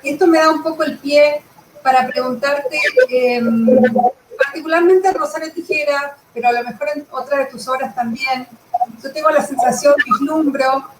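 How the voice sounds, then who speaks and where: Higher-voiced woman interviewer in an echoey room. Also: moderate pace. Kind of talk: conversational literary interview. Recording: roomy echo with clear background noise.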